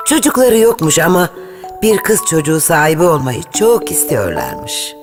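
A woman's voice: deep voice, moderate pace. A woman reading a fairy tale as a narrator, in a lilting storytelling manner. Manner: lilting storytelling, lively intonation. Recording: narration over clear background music.

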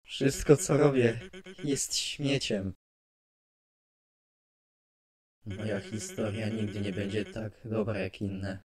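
A man playing an excitable, chatty skeleton artist guardian who is prone to brooding, in an animated fan dub with expressive delivery. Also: fairly low pitch, a little slow, a crowd in the background, close to an everyday voice. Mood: dejected, bitter.

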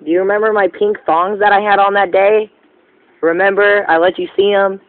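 A man's voice: high voice, little pitch movement, moderate pace. A man making a casual prank phone call in a pushy, insistent, teasing way, on a muffled phone recording. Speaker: prank caller.

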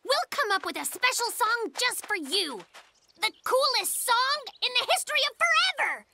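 A performer voicing a boastful, excitable young brown bear cub who sings and raps in a cartoon. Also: male voice, very high-pitched, moderate pace, creaky, heavy background noise, exaggerated voice. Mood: excited. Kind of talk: cartoon voice acting. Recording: music playing underneath.

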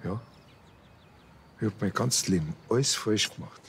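A man's voice: on the low side, normal speed, lightly acted. Male actor as middle-aged Bavarian cop, plaintive, self-pitying, TV comedy, faint background noise. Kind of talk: TV crime comedy acting.

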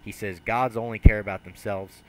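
A man's voice: lowish voice, steady tone, moderate pace, a little mellow, a little hiss or hum. A young man hosting a podcast, chatting casually over a headset mic with frequent laughs and casual swearing. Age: young adult. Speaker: podcast host. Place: small room with brick wall. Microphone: headset mic on video call.

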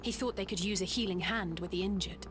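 Game role: young elf adventurer, played by a woman, rapid brisk delivery, clear ambience behind. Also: medium pitch, noisy background, close to an everyday voice. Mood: matter-of-fact. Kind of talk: video game cutscene acting.

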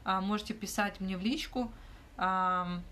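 A woman's voice: medium pitch, measured pace, bright, forceful tone. Young woman on a phone livestream, small room, lots of uh's and restarts, faint hum behind. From Ukraine. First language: not Russian. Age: young adult. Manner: hesitant, frequent "uh" fillers and restarts. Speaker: livestream host answering questions. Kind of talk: casual livestream Q&A. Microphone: phone held close, front camera.

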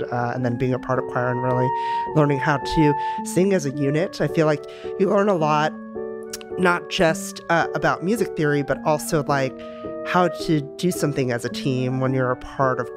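A middle-aged woman from Romania, answering interview questions in a reflective way with many fillers and restarts. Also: a bass voice. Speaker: guest, music company executive.

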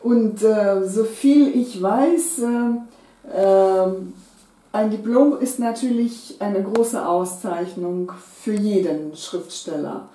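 Slow, mellow-voiced woman interviewer. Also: mid-range voice. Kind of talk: interview questions. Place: living room, indoors. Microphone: far camera. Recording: echoey room from a distance.

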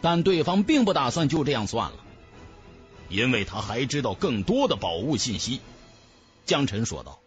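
A man narrating a fantasy audiobook in a theatrical, emphatic way. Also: fairly high pitch.

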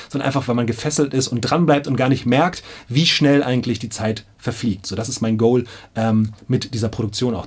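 A man's voice: medium pitch, darkish tone, slightly rough. A man in his thirties talking casually and enthusiastically to camera as a producer in a small studio. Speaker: audio drama producer and casting director. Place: small home studio indoors.

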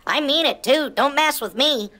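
A woman's voice: high-pitched, moderate pace, pushed and bright, slight background noise. A woman voicing a cheeky, eager pet pug who rambles as it gives a tour to its owners, in an improvised pet voice-over. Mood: mock threatening. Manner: rambling, eager, addresses owners as Mom and Dad.

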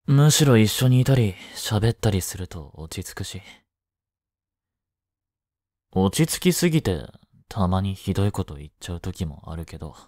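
A young man playing a blunt, teasing but caring studious high-school boy in an intimate audio drama, speaking casually. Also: big pitch swings, normal speed, dry recording, studio quality. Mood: soft, sincere. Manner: casual, curt, softly teasing. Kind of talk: intimate Japanese boyfriend-roleplay audio drama.

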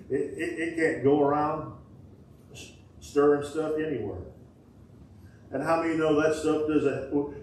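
A middle-aged man preaches in a folksy, call-and-response way, now and then breaking into loud bursts. His voice sits a little high for a man. He is heard from far off in a small, echoing church. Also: a little hiss or hum. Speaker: pastor. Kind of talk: sermon. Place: small wooden-walled church sanctuary. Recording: echoey church from a distance.

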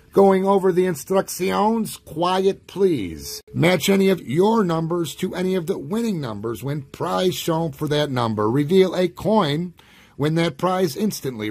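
This is a man with a scratchy, gravelly voice that sits high in his range. He talks unhurriedly, reading out numbers with odd little nicknames and tossing in profanity. The sound is close and dry. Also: a little mellow, no echo. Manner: profane, wry, reads numbers slowly with nicknames. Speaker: lottery scratch-off vlogger. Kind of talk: casual profane commentary while scratching tickets. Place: indoors at a table.

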